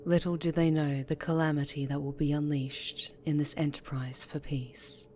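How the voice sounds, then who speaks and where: A woman storyteller giving scripted fantasy ASMR narration in a hushed, measured, dramatic way. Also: deep voice, little pitch movement, slight background noise.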